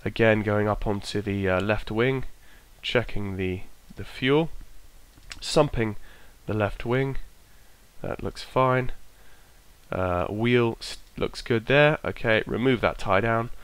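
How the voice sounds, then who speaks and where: A man with a bit of a cold, his voice croaky, casually talking himself through an aircraft checklist and trailing off as he goes. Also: lowish voice, slow pace, no echo. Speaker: flight sim streamer. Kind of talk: casual narrated walkthrough.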